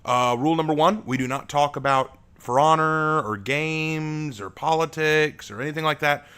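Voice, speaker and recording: Deep-voiced man reading his own story. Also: moderate pace, clear, resonant tone. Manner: relaxed, with fillers and restarts. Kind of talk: casual stream chat, then reading a prologue aloud.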